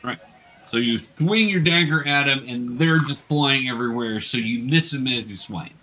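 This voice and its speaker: A man's voice: medium pitch, moderate pace, sharp tone, faint music behind, poor audio. A man running a tabletop roleplaying game as game master, explaining the steps patiently and casually to players.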